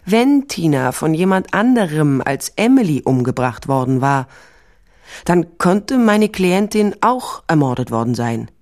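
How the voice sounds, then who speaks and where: A woman's voice: low-pitched, big pitch swings, unhurried, a little mellow, full character voice. An actress playing a tough, wry female private investigator in a German radio crime drama, blunt and dry. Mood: wry, reflective. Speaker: narrator and lead actress.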